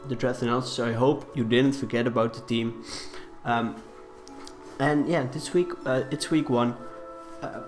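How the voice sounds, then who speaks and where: A young man with a cold, talking quietly and hesitantly as he commentates on a game, with many fillers. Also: medium pitch. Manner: deliberately quiet, subdued delivery. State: has a cold. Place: room in a shared flat.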